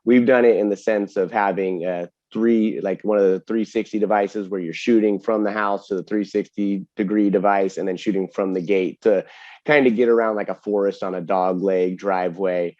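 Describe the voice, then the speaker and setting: Guy w lowish voice doing casual tech webinar q&a, explains stuff, kinda muffled audio. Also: fairly even intonation, normal speed, a little mellow, no echo. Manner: explanatory, often seeks agreement with tag questions. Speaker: webinar host and distributor product expert.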